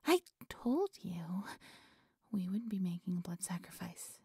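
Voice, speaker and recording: A woman playing an obsessive, devoted female cult leader in a roleplay audio drama, with a breathy, reverent, theatrical delivery. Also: on the low side, expressive, measured pace, warm tone, dry recording, exaggerated voice. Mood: hushed, controlled. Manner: breathy, reverent, theatrical incantation with nervous stammers.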